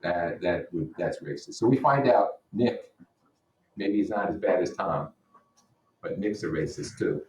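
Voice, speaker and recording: A man's voice: low-pitched, a little slow, a little mellow. A man teaching, reading a novel aloud in an informal way with blunt asides. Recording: roomy echo, distant microphone.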